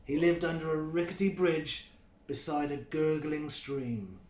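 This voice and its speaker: A man voicing a big tough billy goat father from a storybook, telling tales in a fatherly, measured way in an echoey room. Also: a little high, darkish tone, slight background noise, close to an everyday voice. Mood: teasing storytelling. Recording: roomy, echoing sound.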